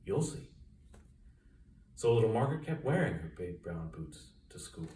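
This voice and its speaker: A man reading a children's story aloud with expressive, dramatic emphasis. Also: fairly low pitch, brisk. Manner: expressive, dramatic emphasis and sound-effect words. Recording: roomy echo, distant mic, faint noise.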